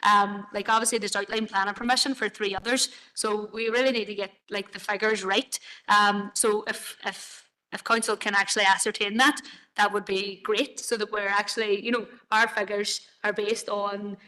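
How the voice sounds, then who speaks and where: Bright-voiced woman presenting. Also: medium pitch, level tone, moderate pace, low-quality recording. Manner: hesitant, many fillers and restarts. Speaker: presenter to a council committee. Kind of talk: committee presentation. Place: council chamber.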